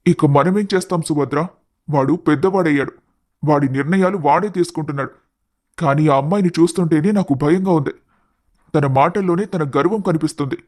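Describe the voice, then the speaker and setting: A man voicing a worried, resigned middle-aged father in an animated family story. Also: a little high, fairly quick, natural voice.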